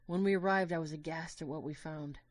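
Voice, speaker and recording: A woman playing a lovestruck, guilt-ridden young student, earnestly narrating an audio drama in the first person with expressive storytelling. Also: deep voice, fairly quick, no room sound, natural voice. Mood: wistful, anguished.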